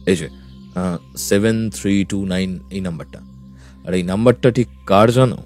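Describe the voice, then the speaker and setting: A senior police officer played by an actor gives a briefing in an audio drama. He has a low voice and a deliberate, businesslike manner, over faint music. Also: a little mellow, close to an everyday voice. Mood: informative. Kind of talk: audio story dialogue acting.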